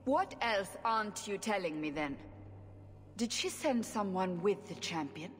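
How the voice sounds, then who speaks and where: A woman's voice: mid-range voice, animated, unhurried, close to an everyday voice. Actress as stern woman interrogator in echoing hall. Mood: stern, suspicious. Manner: commanding, probing. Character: stern, sceptical female interrogator. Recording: long hall reverb.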